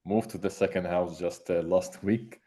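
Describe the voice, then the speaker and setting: Low, dark-voiced man. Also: fairly even intonation. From Egypt. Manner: halting, searching for words. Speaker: meeting participant, software developer. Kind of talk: casual self-introduction on a video call. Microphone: video call.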